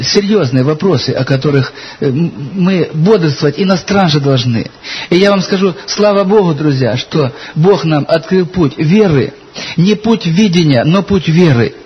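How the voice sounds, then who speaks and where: A man's voice: on the high side, animated, moderate pace, breathy edge. A man preaching a sermon in an earnest way, telling stories from his church and reading scripture aloud. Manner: earnest, addresses listeners as brothers and sisters. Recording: dull lo-fi recording with faint hiss.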